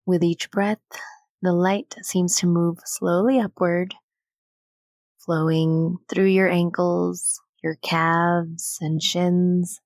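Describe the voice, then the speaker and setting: A woman leading a guided meditation in a gentle, soothing, unhurried manner with long pauses between phrases. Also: fairly low pitch, little pitch movement, no echo, clean studio sound.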